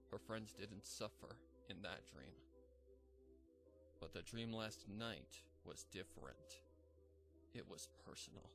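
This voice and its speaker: A man narrating a dark fan fiction story in a measured, somber reading. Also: on the low side. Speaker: fanfic narrator.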